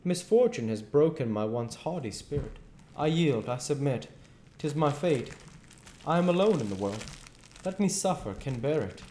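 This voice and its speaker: Man putting on a high, melodramatic martyred duke. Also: expressive, moderate pace, warm tone, slight background noise, natural voice. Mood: martyred, lamenting. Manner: melodramatic, lamenting. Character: self-pitying, theatrical conman duke, martyred and grandiose. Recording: echoey home room recording.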